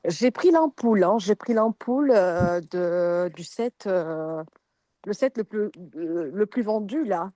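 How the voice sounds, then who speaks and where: A woman's voice: mid-range voice, expressive, slow pace, dark tone, no echo. A woman sharing a hesitant, friendly customer testimonial on a video call. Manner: hesitant, many fillers and restarts, friendly.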